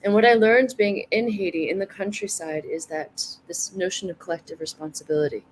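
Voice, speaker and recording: A woman in her forties, a guest in a casual online conversation, talking in a thoughtful, soft-spoken way and laughing easily. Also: medium pitch, fairly quick, a little hiss or hum, rough recording. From United States.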